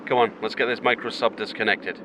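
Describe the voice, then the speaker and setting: A man's voice: fairly low pitch, fairly even intonation, fast, heavy background noise, natural voice. An actor playing a male captain watching over a submarine dive with concern. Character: Scottish male captain guiding a sub dive. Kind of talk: audio drama acting.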